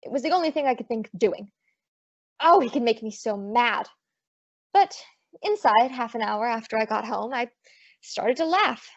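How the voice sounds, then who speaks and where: A woman's voice: a little high, lively intonation, normal speed, pauses often. A young woman playing a spirited female private detective, breezy and wry, in old-time radio detective style. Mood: wry narration. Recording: dull lo-fi audio, very dry.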